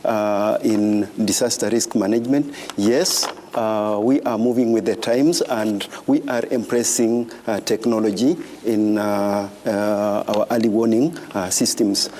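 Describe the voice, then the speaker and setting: A man answering as a panelist at a public event, speaking haltingly with many filler sounds. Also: fairly low pitch, fairly even intonation, mellow. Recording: echoey hall with faint room noise.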